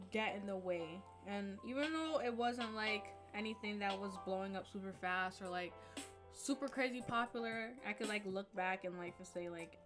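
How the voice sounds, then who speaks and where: A young woman from Jamaica hosting a podcast in casual chat, talking openly and reflectively and leaning on a lot of likes and you knows. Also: mid-range voice. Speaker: podcast host.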